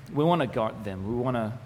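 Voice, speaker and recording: A young man from the Galilee whose first language is Arabic, presenting his ministry to a church audience in a relaxed, joking manner, with many fillers and restarts. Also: mid-range voice, moderate pace. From Israel, Galilee. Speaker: ministry communications manager giving a presentation. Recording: echoey hall with faint noise.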